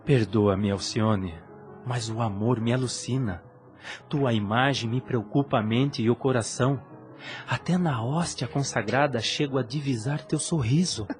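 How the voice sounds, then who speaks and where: Ardent, lovesick young priest played by an actor. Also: male voice, medium pitch, measured pace, faint music behind, natural voice. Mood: ardent, pleading. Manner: lofty, formal, earnest delivery. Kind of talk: solemn audio drama acting of a religious novel.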